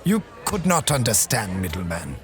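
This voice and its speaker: An actor playing a cautious, sharp-tongued companion in an audio drama, with a dry, needling manner. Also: male voice, lowish voice, sing-song, normal speed. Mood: grim. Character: wary, sharp-tongued male companion of a monster hunter.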